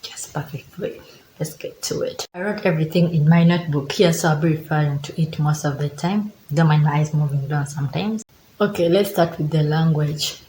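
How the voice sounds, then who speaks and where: A woman's voice: deep voice, moderate pace, warm tone, slight background noise. A young Ugandan woman with a Ugandan accent, giving chatty travel advice to camera for her vlog, repeating herself and reading from notes. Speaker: travel vlogger. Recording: echoey room with faint ambience.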